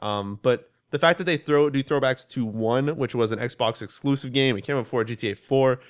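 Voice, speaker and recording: Young podcast co-host, mid-pitched, rambling and profane, restarts a lot. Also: male voice, fairly quick. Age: 20s. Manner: rambling, swears, frequent restarts. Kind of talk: casual gaming chat.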